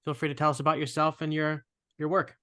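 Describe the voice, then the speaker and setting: A man hosting a podcast interview, affirming and gentle with his guests. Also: a little high, flat delivery, quick pace, dry recording, clean studio sound. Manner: affirming, gentle, many "yeah" and "mm". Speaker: podcast host.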